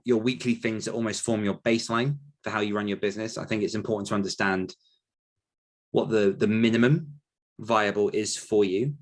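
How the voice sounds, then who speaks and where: A man talking casually as a podcast host, rambling a little as he thinks aloud while answering questions. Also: lowish voice. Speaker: podcast host and author coach.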